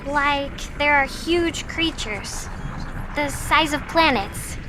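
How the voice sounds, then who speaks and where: A woman's voice: sits high, slow pace, background noise. A woman playing an innocent, anxious young daughter in an audio drama, speaking with hesitant, childlike phrasing. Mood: wondering, eerie.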